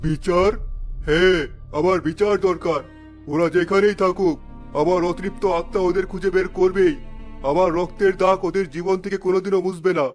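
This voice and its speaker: This is a high male cartoon voice acted by a man of an honest village merchant. He speaks earnestly and with emphasis. Faint music sits behind him. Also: steady tone, moderate pace, natural voice. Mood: bitter, vengeful. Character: honest generous village cloth merchant, later a vengeful restless ghost. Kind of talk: cartoon story acting.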